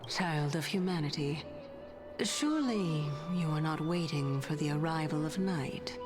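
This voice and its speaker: A woman's voice: low-pitched, expressive, unhurried. A woman voicing a sly, sardonic, teasing female titan in a game cutscene. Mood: teasing, composed. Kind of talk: scripted game cutscene dialogue. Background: music.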